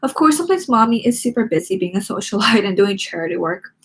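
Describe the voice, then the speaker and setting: A woman's voice: medium pitch, lively intonation, fast, lightly acted. A woman voicing a snobby, spoiled schoolgirl drama queen from a teen diary storybook, haughty, sugary-mean and dramatic. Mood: smug gloating.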